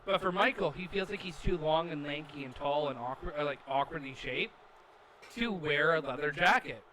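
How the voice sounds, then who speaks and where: A man's voice: on the high side, normal speed, bright, forceful tone, low-quality recording. A man casually chatting while playing a wrestling video game, rambling and self-assured with lots of filler words. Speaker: gaming channel co-host. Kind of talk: casual chat over gameplay. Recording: game crowd noise underneath. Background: arena crowd from the video game.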